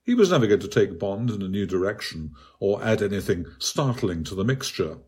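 A man reads his own literary essay aloud in a measured, precise, bookish way. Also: lowish voice, big pitch swings, darkish tone, breathy edge. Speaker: author reading own article. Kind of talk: scripted literary essay narration.

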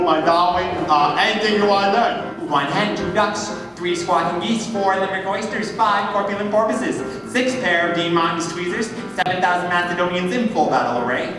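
A man impersonating a zany old vaudeville comedian, delivering theatrical stage patter. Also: natural voice. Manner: theatrical, rattling off lists and sales pitches. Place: on stage in a large auditorium. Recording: echoey theatre, distant microphone, music behind.

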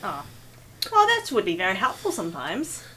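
A woman with a New Zealand accent co-hosting a podcast, playful and teasing with deadpan jokes. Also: mid-range voice, normal speed, a little hiss or hum, low-quality recording. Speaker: podcast co-host. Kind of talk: casual podcast banter.

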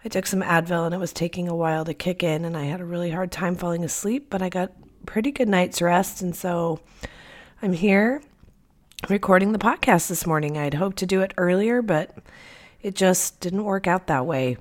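Lower-pitched woman podcaster with a head cold. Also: normal speed. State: congested with a cold. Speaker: podcast host. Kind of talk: casual solo podcast talk.

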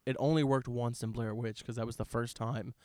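A man co-hosting a film review podcast in a casual, conversational way, offering measured and qualified opinions. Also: medium pitch, fairly even intonation, a little mellow, dry recording, studio quality. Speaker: podcast co-host reviewing films.

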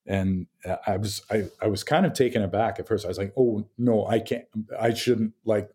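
A man with a deep voice, a game designer talking casually in an interview, relaxed and full of fillers. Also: normal speed, slightly rough. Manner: relaxed, chatty, many fillers and restarts.

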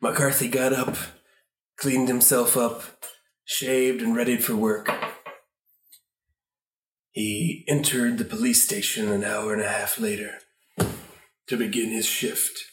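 Improv podcaster doing radio play bits n narration, medium pitch, roomy echo. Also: steady tone, slow pace, long pauses. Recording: echoey room, faint noise.

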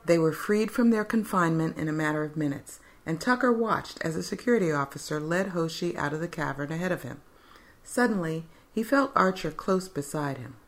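A woman narrates a fiction story in a scripted, dramatic style. Her voice is notably low for a woman. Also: expressive, a little fast.

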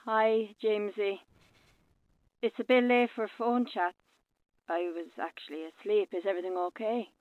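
A woman's voice: mid-range voice, fairly even intonation, moderate pace, pauses often, dry recording. An actress playing a sleepy, polite but firm woman, heard through a telephone filter in a radio drama. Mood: sleepy, wary. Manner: polite, restrained, brushing off. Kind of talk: naturalistic radio drama acting. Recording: narrow, dry phone-call sound.

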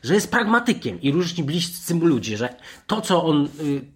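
Digressive male football pundit, halting restarts. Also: a little high, sing-song, breathy edge. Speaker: football pundit on a panel show. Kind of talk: unscripted sports discussion.

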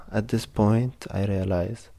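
Young man from Egypt, first-person storyteller, dark mellow tone with fry. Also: fairly low pitch, fairly even intonation, slow pace, soft background music.

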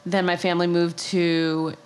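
Female podcast guest, American, slightly low pitch, relaxed conversational storytelling. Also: moderate pace, smooth. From New York and New Jersey, United States. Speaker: podcast guest, travel writer.

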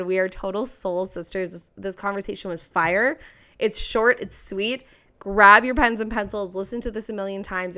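A woman hosting her own podcast, upbeat and gushing as she hypes the listener and welcomes her guest. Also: bright, forceful tone. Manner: gushing, high-energy, hyping the listener. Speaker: podcast host and voice coach.

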